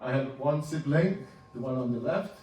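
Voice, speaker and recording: A man in his seventies, a native Ladino speaker from Turkey, giving an informal talk over slides, halting and restarting with small chuckles. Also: mid-range voice, expressive, mellow. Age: 70s. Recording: roomy, distant, faint hum.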